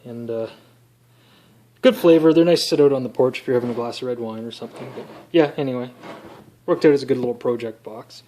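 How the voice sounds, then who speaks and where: A young man giving a casual, unscripted demonstration, pausing as he thinks aloud. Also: medium pitch, mellow. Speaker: electronics student demonstrating a homemade radio project. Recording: noisy amateur home recording with clicks.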